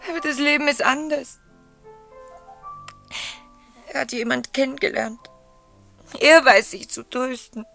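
An emotional, wounded wife, creaky voice. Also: female voice, high voice, normal speed, fairly bright tone, soft background music. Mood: bitter, agitated. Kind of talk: German radio play acting.

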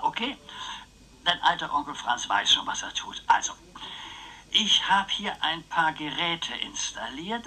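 An actor playing an eccentric, playful man in his eighties in a radio crime drama, stubborn and scheming, with theatrical, drawn-out phrasing. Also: on the high side, bright, forceful tone, slightly rough, full character voice, poor audio. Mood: gleeful, mysterious. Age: 80s.

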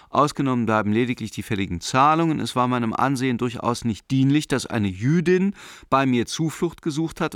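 An actor playing a middle-aged, self-justifying German banker turned Nazi believer, reading a letter in a controlled, formal way in a radio play. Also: male voice, mid-range voice, fairly bright tone, dry recording, studio quality, close to an everyday voice. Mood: stern, distancing.

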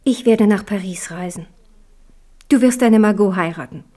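A devout, resolute queen, played by a woman in a 1970s radio drama. Her mid-pitched voice is hushed and grave, and she speaks with quiet firmness. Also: moderate pace, lightly acted.

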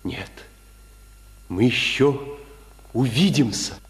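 An actor playing a grave, quietly resolute patriot with measured delivery in a 1950s Soviet radio drama. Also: male voice, mid-range voice, lively intonation, big-room sound, a little hiss or hum. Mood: solemn resolve.

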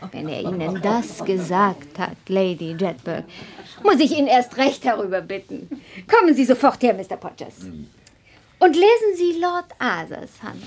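A woman playing a witty, gossipy high-society hostess in a radio play, with breezy, theatrical aristocratic chatter. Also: sing-song, measured pace. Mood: eager, commanding.

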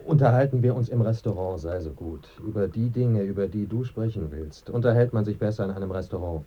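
A man's voice: lowish voice, brisk, slightly rough, highs cut off. A man acting in a German radio play as a dead writer, a bantering, evasive, pleasure-loving friend who savours his words. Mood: jovial, evasive.

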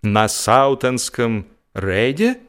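A man's voice: medium pitch, big pitch swings, very slow, a bit bright, clean tone, heavily acted. A man voicing a boastful, pompous traveller in a comic radio story. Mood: puzzled, then brightening. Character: boastful traveller, self-important man who hides his seasickness.

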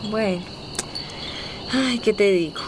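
A woman's voice: medium pitch, slow, lightly acted. A woman playing an earnest, troubled young migrant woman in a radio soap opera. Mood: shy, troubled. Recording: noisy, insects and chimes behind.

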